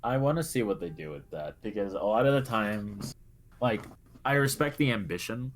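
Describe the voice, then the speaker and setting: A man co-hosting a video game discussion, speaking casually and haltingly as he thinks aloud. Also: fairly low pitch, animated, slight background noise.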